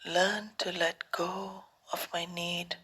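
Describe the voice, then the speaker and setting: A woman meditation teacher speaks extremely slowly in short, calm phrases separated by long pauses. Her voice is soft and breathy, often close to a whisper, and sits fairly low for a woman. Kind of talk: guided meditation.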